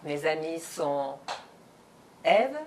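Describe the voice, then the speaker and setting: A woman hosting a dinner-table interview in a home, speaking slowly and carefully as she searches for words. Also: low voice, sing-song, darkish tone, a little hiss or hum. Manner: slow and measured, searching for words. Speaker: host. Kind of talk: hosted interview.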